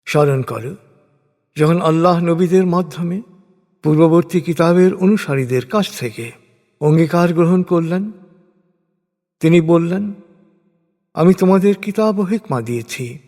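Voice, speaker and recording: A man reading a scripture translation as a solemn, formal scripted narration, with measured and deliberate phrasing. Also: on the high side, warm tone. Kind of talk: solemn scripted religious recitation in prose. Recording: long hall-like reverb.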